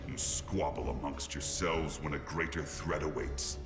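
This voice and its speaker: A stern old underworld god, booming hall reverb, loud music under him. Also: male voice, level tone, measured pace. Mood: commanding. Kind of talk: video game cutscene acting.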